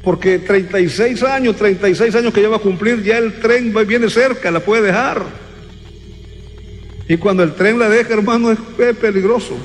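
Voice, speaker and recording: A man's voice: high-pitched, moderate pace. A man preaching a sermon to a congregation, emphatic and repeating words for stress. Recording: long reverb in a hall, faint music.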